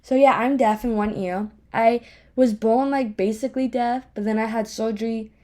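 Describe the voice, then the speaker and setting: A woman's voice: a little high, steady tone, normal speed, mellow. A young woman podcast host with a speech impediment, chatting casually on her own in a candid, self-deprecating, rambling way. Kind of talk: casual solo chat, rambling. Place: home office.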